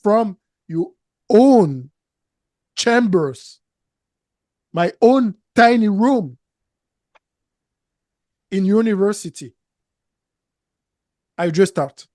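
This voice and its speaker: A man in his thirties speaking English with a French accent, his first language being French. He talks slowly and haltingly as an interview guest, repeating words as he searches for phrasing. The sound is dry and close, seated in a studio. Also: high-pitched, expressive, dark tone, no room sound. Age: 30s. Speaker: business coach guest. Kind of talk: interview conversation. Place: studio set, seated in armchair.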